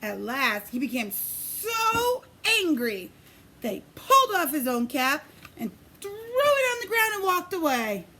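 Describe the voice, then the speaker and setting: A woman preschool teacher reading a picture book aloud in an expressive, sing-song way, pausing to put questions to young children. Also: high voice, a little hiss or hum, poor audio.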